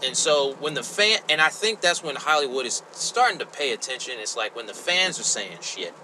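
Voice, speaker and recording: A man talks as a podcast host with clear noise behind him. His mid-range voice is conversational and halting, with an exasperated, foul-mouthed edge. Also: mid-range voice, expressive, pushed and bright, low-quality recording. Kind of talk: casual conversational podcast chat.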